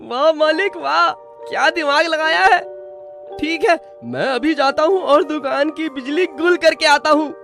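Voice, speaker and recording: A woman's voice: sits high, a little slow, vocal fry, a bit bright, soft background music, heavily acted. A woman voicing a flustered, deferential male shop servant in a cartoon, fawning and repeating words. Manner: alarmed, fawning, repeats himself.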